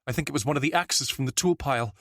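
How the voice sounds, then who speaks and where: A man's voice: mid-range voice, fast talker, a bit bright, no room sound, studio quality, pitched above the performer's own voice. A man voicing a shaken woman witness who tells what she saw, in a raised, tense voice.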